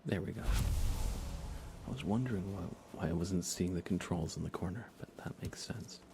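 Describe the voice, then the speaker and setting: A man hosting an ASMR gaming livestream, speaking in a breathy, hushed, reassuring whisper with some vocal fry. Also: low voice, normal speed, a little hiss or hum. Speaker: livestream host.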